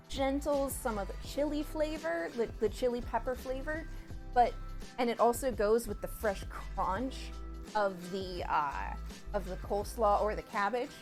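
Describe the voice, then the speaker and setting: A woman co-hosting a cooking stream from her home kitchen, chatting in a playful, rambling way. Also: on the high side. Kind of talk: casual chat while cooking.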